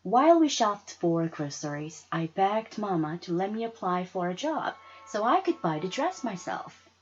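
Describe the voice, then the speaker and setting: A woman reading a children's story aloud as an expressive narrator. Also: medium pitch, normal speed, muffled recording, soft background music. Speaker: storybook reader.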